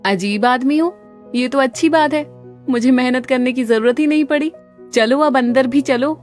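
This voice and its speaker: A woman's voice: high-pitched, normal speed, faint music behind, close to an everyday voice. A woman playing a confident, teasing young wife in a dramatised audio story.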